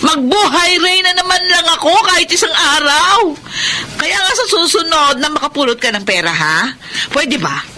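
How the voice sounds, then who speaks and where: A woman's voice: expressive, moderate pace, pushed and bright, a little hiss or hum. An actress playing a poor wife and worried mother who scolds her husband, in a radio drama. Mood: scolding. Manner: scolding, shrill.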